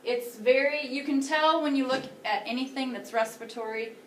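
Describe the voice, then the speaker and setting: A woman's voice: high voice, normal speed, a little hiss or hum. A woman teacher giving a classroom lecture, explaining step by step and pointing to pictures on slides. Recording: roomy, a bit far from the mic.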